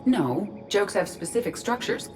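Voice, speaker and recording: A woman's voice: medium pitch, big pitch swings, unhurried. A woman voicing a composed, literal-minded artificial intelligence in a video game, speaking formally and precisely. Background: background music.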